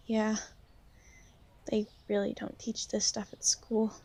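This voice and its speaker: A woman playing a shy, gentle young vampire in an ASMR roleplay, speaking softly and hesitantly to the listener. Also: medium pitch, completely flat delivery, slightly rough. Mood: subdued, hesitant. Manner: hesitant, soft-spoken, gently halting. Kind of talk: ASMR roleplay, soft intimate talk to the listener.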